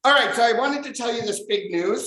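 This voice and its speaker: A man doing a stand-up comedy set over a video call, delivering dry deadpan one-liners. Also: extremely high pitch, brisk, reverberant. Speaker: stand-up comic. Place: abroad in a home room.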